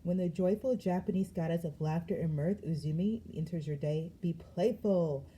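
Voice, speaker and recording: Low, dark-voiced woman tarot reader. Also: normal speed, slight background noise, low-quality recording. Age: adult. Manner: lively, slangy and emphatic, with frequent laughs. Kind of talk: casual spiritual reading vlog.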